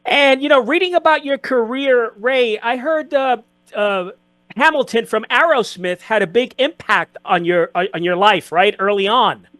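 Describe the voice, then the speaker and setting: A man's voice: very high voice, moderate pace, a bit bright, no echo. A man hosting a live radio talk show, greeting listeners and interviewing a guest with an upbeat announcer delivery.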